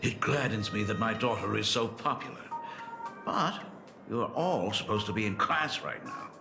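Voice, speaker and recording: A man playing a gentle, wistful aging father in a video game, speaking fondly and formally with frequent sighs. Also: on the low side, vocal fry. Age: older. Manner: sighing, unhurried, fond and formal. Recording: roomy echo, music behind. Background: music.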